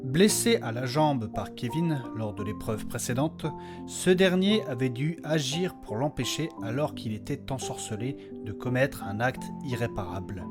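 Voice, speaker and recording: A man's voice: mid-range voice, expressive, moderate pace. A man narrating a fantasy audio story in a dramatic, scripted style. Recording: music under the voice.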